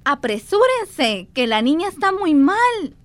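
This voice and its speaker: A woman's voice: high-pitched, sing-song, takes it slowly, dry recording, heavily acted. A woman playing a caring, anxious household woman with an earnest manner in an amateur radio drama. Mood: worried.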